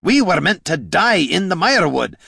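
A man voicing a gruff, blunt male warrior hero who talks rough and coarse. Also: on the high side, sing-song, brisk, a bit bright, dry recording, studio quality, voice pushed up, heavily acted. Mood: angry.

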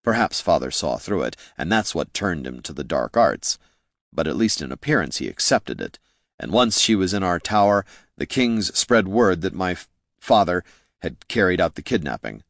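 A man voicing a necromancer's son in a fantasy audiobook, explaining things in a measured, bitter, knowing way. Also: low voice, big pitch swings, a bit bright, dry recording, clean studio sound, heavily acted. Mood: grim, explaining.

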